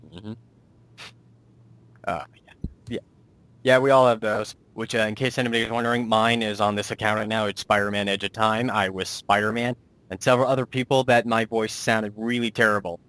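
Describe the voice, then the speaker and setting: A man's voice: fairly low pitch, little pitch movement, brisk, a bit bright, dry recording. A man hosting a casual, chatty interview in an enthusiastic, reactive manner. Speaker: interviewer, host.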